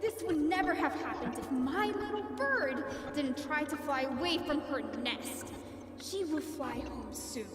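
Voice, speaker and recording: A woman's voice: sits high, animated, unhurried. A woman voicing a haughty, scornful, possessive female villain in an audio drama monologue, disdainful and coldly menacing, drenched in reverb. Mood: indignant, menacing. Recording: echoing hall, distant, over music.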